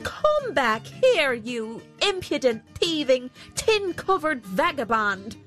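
Woman doing an indignant miller, pitched up. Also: sing-song, takes it slowly, soft background music, full character voice. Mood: furious. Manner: mock-polite turning to loud scolding. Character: indignant male country miller losing his horse. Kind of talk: storybook character voice.

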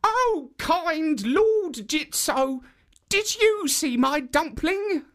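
A giggling, squeaky old woman. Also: expressive, fairly bright tone, full character voice. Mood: pleading. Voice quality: very high, cackling laugh. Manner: drawn-out, sing-song cries.

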